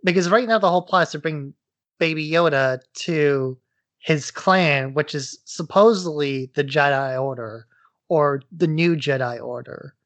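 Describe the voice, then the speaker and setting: A man's voice: a little high, unhurried, fairly bright tone, no echo. A man co-hosting a casual podcast, speculating in a tentative way as he pieces his ideas together. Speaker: podcast co-host.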